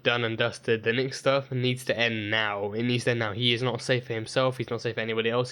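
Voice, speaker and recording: A man with a dry, croaky throat recapping a TV episode in a rambling, casual way, full of fillers and restarts. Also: mid-range voice, sharp tone. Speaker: TV episode reviewer. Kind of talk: casual reaction video recap.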